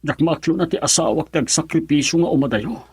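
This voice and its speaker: Husky, stubborn Ilocano husband. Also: male voice, on the high side, fast talker, darkish tone, dry recording. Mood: aggrieved. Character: middle-aged farmer husband, stubborn, wounded and suspicious. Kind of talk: radio drama acting.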